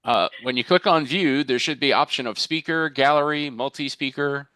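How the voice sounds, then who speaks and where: Wry, teasing male for casual call chat, mid pitch, dry sound. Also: moderate pace, pushed and bright, smooth, no room sound, rough recording.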